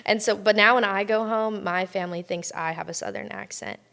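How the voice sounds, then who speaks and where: A young woman from California, a college softball player chatting casually as an interview guest, telling lively stories with lots of fillers. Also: mid-range voice, fairly quick, vocal fry. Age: young adult. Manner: chatty, animated storytelling with many fillers.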